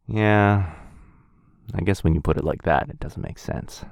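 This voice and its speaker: Man acting a deep-voiced, caring vampire lover. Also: creaky, smooth, no room sound, clean studio sound, heavily acted. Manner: soft-spoken, reassuring. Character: gentle, caring centuries-old male vampire lover. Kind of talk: ASMR roleplay acting.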